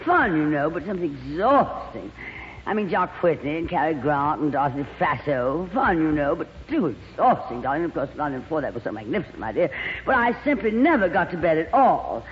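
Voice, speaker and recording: An actress playing a glamorous, worldly, gossipy stage actress in a 1940s radio comedy, theatrical and full of endearments. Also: female voice, deep voice, very animated, fairly quick. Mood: gushing. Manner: theatrical, drawls endearments like darling. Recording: old radio broadcast, reverberant, dull, faint hiss.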